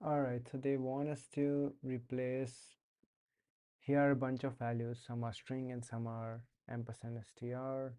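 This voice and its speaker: A man's voice: medium pitch, level tone, unhurried, mellow, smooth. A young man with an Indian accent chatting casually while coding on a live stream, hesitant with many fillers and restarts. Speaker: streamer learning to code.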